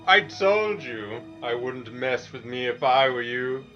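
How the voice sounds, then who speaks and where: A man playing an arrogant god of darkness in a dramatic story reading, with a slow, scoffing, threatening manner. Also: medium pitch, sharp tone, muffled recording. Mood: scheming. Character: menacing, arrogant male god of darkness and disorder. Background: music.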